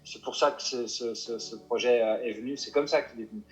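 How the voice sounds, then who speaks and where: A mountain guide answering interview questions, hesitant and full of restarts and fillers. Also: male voice, mid-range voice, steady tone, fairly quick, soft background music, poor audio. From Paris suburbs.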